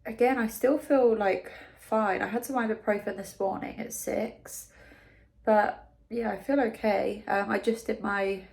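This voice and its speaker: A young woman from the UK talking casually in a video diary, her nose blocked and congested, pausing for breath as she chats with many ums and likes. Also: mid-range voice, fairly even intonation, creaky, darkish tone, reverberant. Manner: chatty, lots of ums and likes. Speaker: vlogger.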